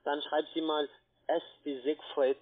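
A man with a foreign accent in German, a non-native speaker talking haltingly in broken grammar as a call centre agent. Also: fairly high pitch, level tone. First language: not German. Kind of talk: halting phone sales talk. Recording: narrow tinny phone line.

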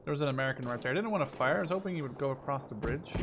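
A man's voice: medium pitch, expressive, rapid-fire. Man commentating over noisy game gunfire. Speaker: gaming video host. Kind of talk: casual first-person gameplay commentary. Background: video game gunfire and artillery.

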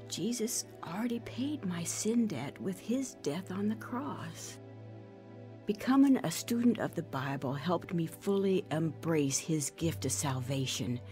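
An actress playing an earnest, deliberate woman who testifies to overcoming shame through faith in an audio drama. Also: fairly low pitch, darkish tone. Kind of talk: dramatized first-person testimony.

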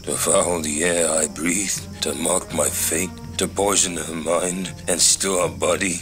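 An actor in his sixties playing a blind, gaunt old Malay chief who is bitter and suspicious, with a gravelly, whispery delivery. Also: deep voice, fairly even intonation, measured pace, over music. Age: 60s. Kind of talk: full-cast audio drama.

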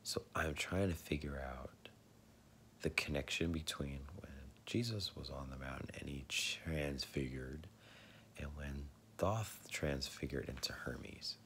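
A man in his thirties who is losing his voice, talking slowly at home into a phone at arm's length, often dropping to a husky whisper and clearing his throat. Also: extremely low pitch, fairly bright tone, slight background noise. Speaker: vlogger sharing religious theories. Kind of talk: casual home video monologue. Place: living room at home, indoors. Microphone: phone camera at arm's length.

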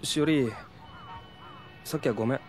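An actor playing a flustered, lovesick high school boy who stammers and mutters to himself in a Japanese audio drama. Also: normal speed, a little mellow, natural voice. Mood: brooding. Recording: music under the voice.

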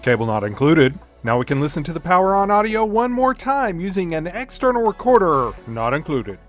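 A middle-aged man delivers a spoof sales pitch in announcer style. His voice sits a little high and moves around a lot, with music behind it. Every selling point gets exaggerated emphasis. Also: sing-song, fairly quick. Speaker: host of a parody product video. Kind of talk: parody infomercial announcer narration.

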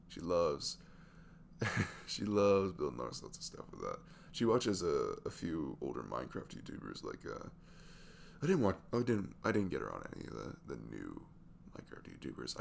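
A man in his twenties playing a sweet, easygoing single dad in an intimate ASMR audio roleplay, chatting haltingly in a velvety voice. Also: slight background noise, full character voice. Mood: fond, amused. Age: 20s. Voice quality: deep, gravelly velvet voice. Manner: halting, chuckling, conversational.

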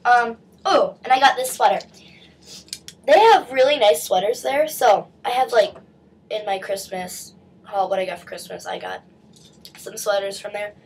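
A woman's voice: mid-range voice, normal speed, a little mellow. A teenage girl chatting through a shopping haul on her webcam, stuffy from a cold, with lots of likes and restarts. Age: teens. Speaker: vlogger. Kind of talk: casual haul video chat. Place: small bedroom indoors. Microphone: webcam at desk distance.

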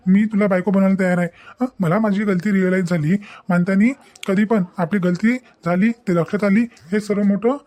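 Cartoon young husband, played by a man: high voice, even monotone delivery, earnest, reassuring and insistent. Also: close to an everyday voice. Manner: explanatory, insistent, repetitive. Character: devoted, reassuring young groom, firm and earnest. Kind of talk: cartoon family melodrama acting.

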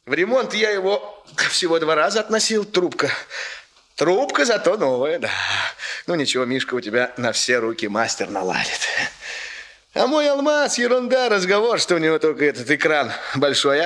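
A man's voice: a little high, sharp tone. An actor playing a hearty, doting but evasive middle-aged family man with colloquial, hesitant speech in a 1960s radio play.

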